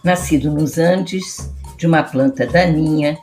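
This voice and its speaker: A woman's voice: low voice, a little slow, a little mellow, faint music behind. A woman hosting a food-history podcast, introducing guests and asking questions in a friendly way. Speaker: host.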